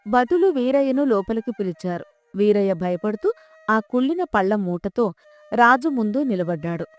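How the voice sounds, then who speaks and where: A woman's voice: medium pitch, lively intonation, normal speed, soft background music. A woman narrating a scripted folk tale as its storyteller.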